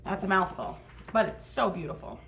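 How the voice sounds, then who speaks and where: A woman's voice: medium pitch, lively intonation, normal speed, creaky, a bit bright, slight background noise, rough recording. A woman teacher reading a picture book aloud to young children, explaining things and checking in with questions. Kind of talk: read-aloud storytime with asides to children.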